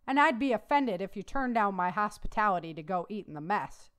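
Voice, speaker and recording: A woman reads a wealthy, formidable male landowner in a storybook. He speaks courteously yet insistently, with a smooth, animated voice. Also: mid-range voice, brisk, close to an everyday voice.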